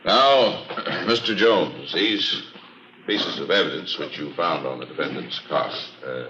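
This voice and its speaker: Formal prosecutor in old radio drama, really deep voice, muffled hissy recording. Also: male voice, expressive, bright, forceful tone, exaggerated voice. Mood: formal, businesslike. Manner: formal, deliberate questioning with throat-clearing and hesitations. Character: methodical courtroom prosecutor, courteous, formal man. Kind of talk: 1950s radio drama acting.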